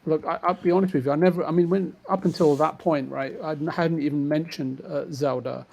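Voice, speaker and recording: A man's voice: on the high side, steady tone, fairly quick, vocal fry, a little mellow. A man chatting casually about games in a hesitant, roundabout way. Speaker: gamer on a podcast.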